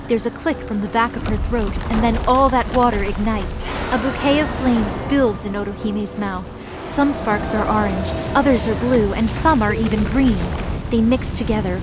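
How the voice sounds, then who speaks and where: A woman narrates a scripted audio drama. Her voice sits a little high, and loud music plays behind her throughout.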